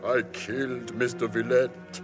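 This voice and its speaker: A man's voice: mid-range voice, level tone, unhurried, natural voice. An actor playing a frightened refugee man who has killed someone, with a pleading, anguished delivery, in a 1950s radio drama. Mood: desperate. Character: frightened German refugee man, guilt-ridden murderer. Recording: old broadcast with faint music.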